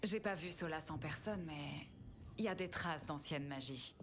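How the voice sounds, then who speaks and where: A woman's voice: low-pitched, normal speed, background noise, lightly acted. An actress playing a cool, wry female mage detective in a fantasy video game. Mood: serious, determined. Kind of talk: dubbed fantasy game acting. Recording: loud game ambience behind the voice.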